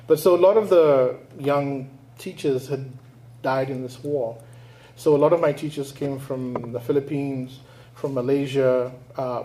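A man's voice: a little high, a little mellow, slight background noise. A man from Nigeria giving a public talk as a writer, reading his prose and then answering audience questions in a thoughtful, storytelling way. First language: English and Igbo.

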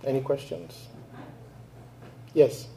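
A man's voice: slow pace, mellow, a little hiss or hum, low-quality recording. A man from Nigeria giving a public talk as a writer, reading his prose and then answering audience questions in a thoughtful, storytelling way. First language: English and Igbo.

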